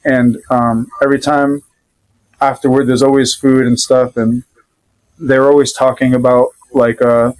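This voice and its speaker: A man from Rhode Island with a Rhode Island accent, a father and podcast guest, telling a story in an easygoing, loosely rambling way with many pauses. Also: medium pitch, little pitch movement, darkish tone, low-quality recording. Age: adult father. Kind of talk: casual conversational storytelling.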